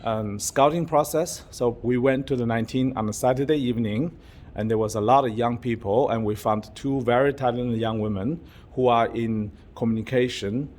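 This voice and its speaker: A man's voice: mid-range voice, fairly even intonation, normal speed, darkish tone. Rambling man vlogging to camera. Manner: playful mock-secretive, rambling with fillers. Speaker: YouTuber vlogger. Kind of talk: casual vlog to camera. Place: public venue with outside view.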